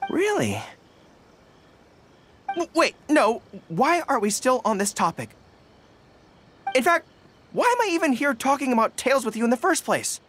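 A woman's voice: sits high, very animated, measured pace, long pauses, slight background noise. A woman voicing an earnest, easily flustered young male dog-eared general in a video game, with a boyish manner. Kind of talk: video game character dialogue. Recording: music under the voice. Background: game background music.

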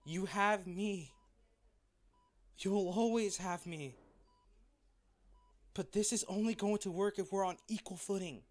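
A man playing a wealthy, devoted, protective lover in a romantic audio roleplay, speaking tenderly and earnestly with halting phrases. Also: sits high. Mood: pleading, earnest.

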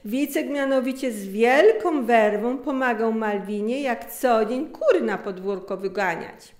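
A middle-aged woman reading a story aloud as an expressive narrator. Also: a little high. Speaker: author reading own story. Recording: echoey room.

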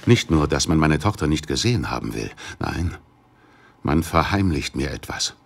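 An actor playing a middle-aged, worried businessman in an audio drama, speaking in a formal, measured way. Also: a bass voice, big pitch swings, gravelly, breathy edge, heavily acted. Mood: solemn, ominous. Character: grave, worried Chinese businessman, father of missing daughter. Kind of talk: German radio play dialogue.

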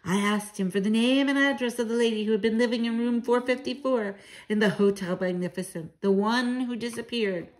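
A woman's voice: a little high, a little fast, natural voice, low-quality recording. Woman as a bold, emphatic old grandmother. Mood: self-assured, proud. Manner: assured, emphatic, dramatic. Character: bold, proud old Norwegian grandmother, conspiratorial and affectionate.

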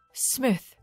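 A woman reading scripted drama lines as an amateur voice actor, breaking off into playful asides.

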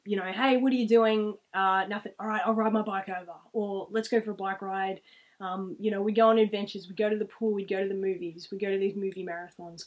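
A woman in her thirties with an Australian accent, telling stories casually to camera in a rambling, reflective way. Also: mid-range voice. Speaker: vlogger. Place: small room at home, indoors, seated.

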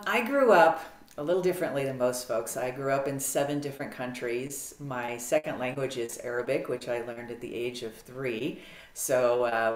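A middle-aged American woman talking as a podcast guest on a video call, animated and clear with frequent ums. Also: rumbling low. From United States. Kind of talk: casual interview storytelling. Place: home office, video call. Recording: roomy video-call audio.

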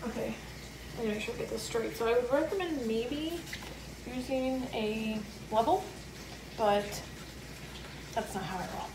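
A woman's voice: on the high side, lively intonation, measured pace, long pauses. A middle-aged woman vlogging at home, thinking aloud in casual chat as she works. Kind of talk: casual chat while decorating. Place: small laundry room indoors at home. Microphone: camera or phone set a few feet away. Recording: roomy, distant mic, noisy background.

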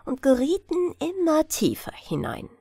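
A woman narrates a bedtime fairy tale as an audiobook reader. Her voice sits on the high side and moves with lively, varied intonation. She takes her time, telling the story expressively. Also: unhurried, dry recording, clean studio sound.

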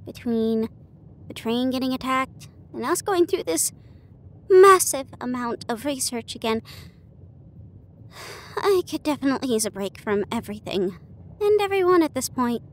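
A woman performing an intimate audio roleplay as an affectionate, bookish partner, chatting casually with hesitant pauses. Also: high voice, expressive. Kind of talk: intimate acted roleplay monologue. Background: ambient train sound effects.

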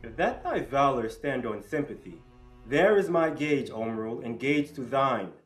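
An actor playing a stern, vengeful, combative lord, declaiming in a Shakespearean staged reading. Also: male voice, mid-range voice, animated, moderate pace, soft background music, close to an everyday voice. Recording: echoing room.

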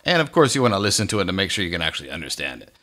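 A man hosting a casual software tutorial, explaining each step in an informal, folksy way. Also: deep voice, very animated, rapid-fire. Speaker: tutorial host.

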